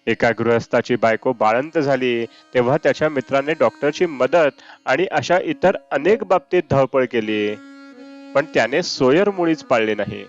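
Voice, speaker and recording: A man reads a spiritual discourse aloud in a measured, deliberate way. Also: mid-range voice, muffled recording. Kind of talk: read-aloud devotional discourse. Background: Indian classical raga music with sitar.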